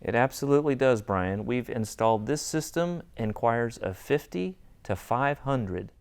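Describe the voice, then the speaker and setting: A man's voice: medium pitch, lively intonation, a little slow, clear, resonant tone. A man speaking as an interview guest, giving a rehearsed technical explanation as an audio and acoustics designer.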